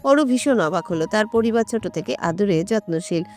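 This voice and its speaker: A woman's voice: medium pitch, expressive, normal speed, a little mellow, soft background music. A woman reading a scripted romantic story as its narrator.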